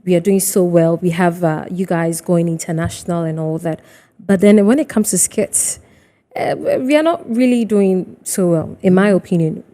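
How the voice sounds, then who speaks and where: A woman's voice: fairly low pitch, normal speed, dark tone. A woman with a Ghanaian accent hosting a conversational TV interview in a reverberant room. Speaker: TV show host.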